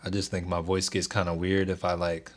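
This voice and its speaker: Young male streamer, very deep and soothing, even delivery, laid-back chat. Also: brisk. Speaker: stock trading livestream host. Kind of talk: casual livestream chat with viewers.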